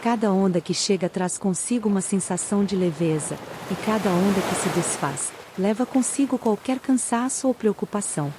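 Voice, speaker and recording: A Brazilian woman narrating a guided meditation in a calm, soothing way with long pauses. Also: medium pitch, background noise. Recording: ocean waves behind the voice. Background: sound of sea waves.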